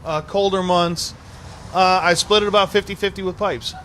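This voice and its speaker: A man's voice: high voice, steady tone, normal speed, a bit bright, a little hiss or hum. A man co-hosting a casual chat show, giving easygoing, rambling explanations. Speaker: podcast co-host. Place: bar, live show.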